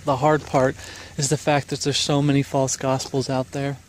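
A man's voice: medium pitch, little pitch movement, normal speed, a little hiss or hum. Earnest middle-aged man preaching on a walk. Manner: earnest, rambling, exhorting with fillers; walking while talking. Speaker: street preacher. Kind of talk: informal walking sermon. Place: foggy woodland trail outdoors. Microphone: phone camera held at arm's length.

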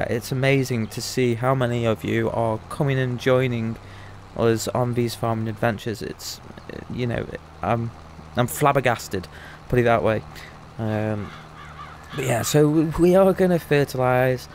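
A man's voice: medium pitch, vocal fry, slight background noise. A young man in casual let's-play commentary, chatty and rambling, his voice still a bit rusty after losing it. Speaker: gaming YouTuber.

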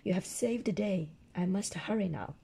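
Hoarse old Santa, acted by a woman reader. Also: mid-range voice, moderate pace, warm tone. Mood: grateful. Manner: kindly, flustered. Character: Santa, kindly flustered old man.

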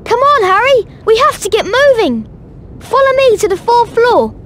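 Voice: very high-pitched, sing-song, moderate pace, a bit bright, a little hiss or hum, full character voice. An actor playing a blunt, plucky young British schoolboy wizard in a video game, with an urgent manner. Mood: impatient. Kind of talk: video game cutscene acting.

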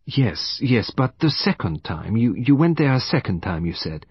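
A man voicing a meticulous, sly older male detective in an audiobook, with formal, deliberate foreign phrasing. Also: mid-range voice, big pitch swings, husky, no room sound, lo-fi sound, full character voice. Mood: probing, courteous. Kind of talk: audiobook character voice.

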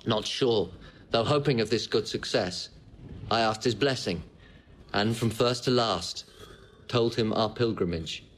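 A male actor plays a sorrowful noble son in a Shakespearean audio drama. He speaks slowly in a fairly low voice, measured and solemn, with ambient noise behind him. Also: lightly acted, low-quality recording. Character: noble, sorrowful wronged son telling his tale.

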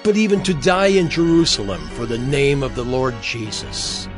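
An actor playing a resolute, anguished apostle, impassioned and earnest, in an audio Bible drama. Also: male voice, medium pitch, sing-song, moderate pace, over music, close to an everyday voice. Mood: anguished resolve.

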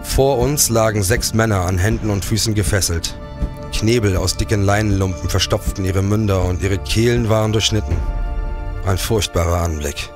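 A man's voice: lowish voice, normal speed, over music, natural voice. An actor playing an earnest, dramatic policeman investigating a crime in a historical audio drama. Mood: grave. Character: male security policeman investigating and narrating a historical crime case. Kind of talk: German audio drama acting.

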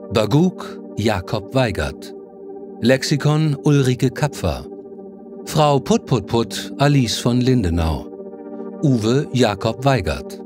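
A man reading scripted podcast credits and outro as an announcer. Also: mid-range voice. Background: synthesizer music.

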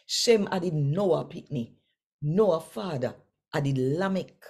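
An older woman with a Jamaican accent reading scripture in Patois in a measured, deliberate way. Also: sits low, lively intonation, mellow, slightly rough. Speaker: Bible reader and presenter. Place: small home room, indoors.

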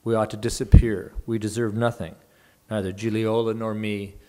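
A man's voice: lowish voice, flat delivery, normal speed, close to an everyday voice. A man reading as a scornful older woman. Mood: sharp, scornful. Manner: sharp, mocking, threatening. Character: older woman, bitter and fierce, scornful friend.

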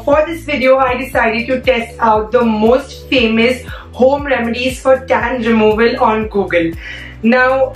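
A hoarse young woman, chatting casually as a beauty vlogger, full of "like" fillers. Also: a little high. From India. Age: young adult. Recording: echoey room, a bit far from mic, faint music.

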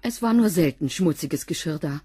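A woman's voice: low voice, expressive, normal speed, warm tone, slightly rough, dry recording, exaggerated voice. An actress playing a blunt, dry cleaning woman who gives curt, matter-of-fact answers in a radio crime drama. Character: blunt, dry female cleaner being questioned.

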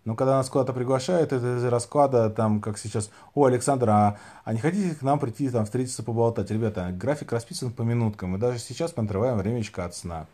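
A man's voice: mid-range voice, fast, hardly any pauses, dark tone. Male livestream host, thirties, mid-range voice, informal question-and-answer chat recorded on a phone. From Saint Petersburg, Russia. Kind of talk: casual chat, reading out and answering viewers' questions. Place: small room indoors, lying back on pillows. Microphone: phone at arm's length.

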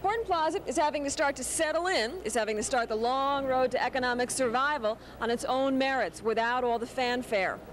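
A woman's voice: high voice, brisk, few pauses. A woman TV news reporter delivering scripted narration and interview questions on 1980s field tape. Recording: noisy 1980s TV field tape, street ambience.

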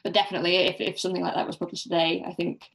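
A woman's voice: on the low side, little pitch movement, racing, creaky, a bit bright, a little hiss or hum. A woman speaking as a podcast guest, discussing literature casually in a tentative, self-deprecating way.

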